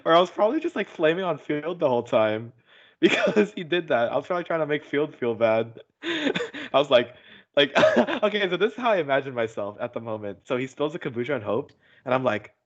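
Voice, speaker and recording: A man's voice: on the high side, sing-song, fairly quick. A young man hosting a casual podcast over a voice call, teasing and slangy, prone to goofy guffawing. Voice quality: big goofy guffawing laugh. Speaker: podcast host. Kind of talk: casual joking podcast chat. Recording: dull, muffled voice-call sound.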